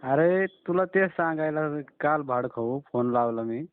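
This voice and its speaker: A man's voice: a little high, lively intonation, measured pace, smooth, dry recording. A young man, chatting colloquially and a bit grumblingly with a friend on a phone call. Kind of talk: casual phone chat with a friend. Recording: narrow, tinny phone call recording.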